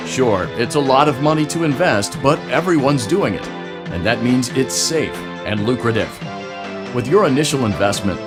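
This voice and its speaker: Male actor as slick franchise-video announcer for a game. Upbeat, salesy, very animated mid-range delivery over music. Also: medium pitch, lightly acted. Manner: peppy, salesy, smooth-talking. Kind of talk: parody corporate training and sales narration.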